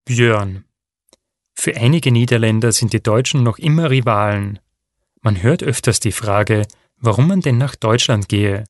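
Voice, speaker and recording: A man reading a first-person passage aloud for a language listening exercise, calm and even. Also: on the low side, measured pace, no room sound, clean studio sound. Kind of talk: scripted reading of a textbook listening text.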